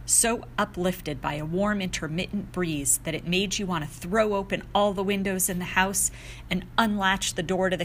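A woman's voice: on the low side, a bit bright, slight background noise. A woman, a teacher reading and talking about poetry. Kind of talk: poetry reading with brief personal comment.

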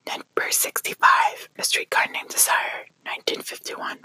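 A whispering ASMR creator softly reading a countdown list, hushed and unhurried, pausing to apologize for small slips. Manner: soft whispering, quietly acting out each quote before the title, apologizing for slips.

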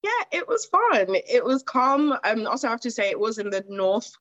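A woman's voice: fairly high pitch, big pitch swings, moderate pace, fairly bright tone, no echo. A young woman from Zimbabwe talks through her poetry in a loose, meandering way, with fillers everywhere. The sound is dry and close, with a slightly dull, lo-fi edge. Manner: rambling, with frequent fillers and laughs. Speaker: guest poet. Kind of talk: casual conversational interview.

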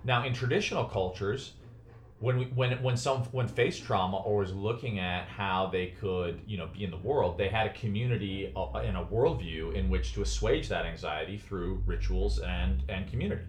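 A man's voice: low-pitched, normal speed, slight background noise. A man in his forties from Chicago, talking as a podcast guest in an earnest, thoughtful way and often restarting phrases as he searches for words. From United States (Chicago). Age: 40s. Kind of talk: reflective conversational interview. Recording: roomy echo.

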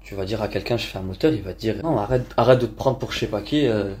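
A man's voice: on the low side, fast talker, darkish tone. A young man hosting a podcast chat, asking his friends probing questions.

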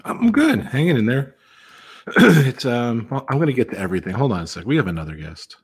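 A man's voice: mid-range voice, big pitch swings, a little fast, breathy edge. A man hosting a casual live stream, upbeat, greeting guests and thanking his viewers. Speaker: host of a live stream hangout.

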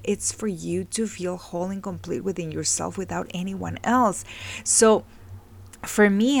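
A middle-aged woman from Mexico speaks in a fairly low voice. Her talk is earnest and reflective, full of "like" and "right?" as she works through ideas on healing. The recording is dry and close. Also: vocal fry, dry recording. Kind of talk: casual motivational talk.

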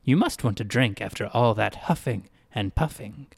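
A man's voice: medium pitch, lively intonation, normal speed, gravelly, breathy edge, dry recording. A man voicing an odd, neckless male town greeter who is relentlessly cheerful and speaks in a sing-song, overly polite way in a storybook reading. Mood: eerily cheerful.

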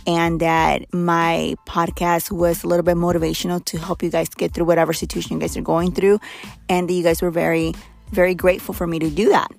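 A young woman hosting her own podcast in a blunt, candid, chatty way, hoarse as she loses her voice. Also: fairly low pitch, little pitch movement, brisk, no room sound, faint music behind. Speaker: podcast host. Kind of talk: casual solo podcast talk.

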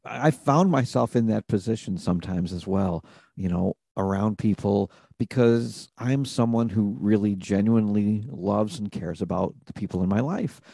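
A man's voice: lowish voice, moderate pace, vocal fry, dark tone, breathy edge, no echo, studio quality. A man hosting an interview podcast, talking in an earnest, reflective way about purpose and connection. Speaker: podcast host. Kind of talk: conversational interview.

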